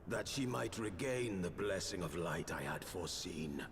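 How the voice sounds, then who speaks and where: An actor playing a solemn, menacing robed villain in a video game, speaking in formal, grandiose phrases. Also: male voice, sits low, expressive, normal speed. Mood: solemn menace. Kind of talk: video game cutscene acting. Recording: long cavernous reverb, game noise.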